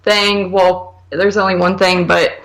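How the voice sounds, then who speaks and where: A woman's voice: fairly low pitch, flat delivery, slow pace, fairly bright tone, low-quality recording. A woman co-hosting a podcast, reviewing films in casual, informal chat full of hedges and filler words. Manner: informal, hedging, filler-heavy with frequent "like". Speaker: podcast co-host.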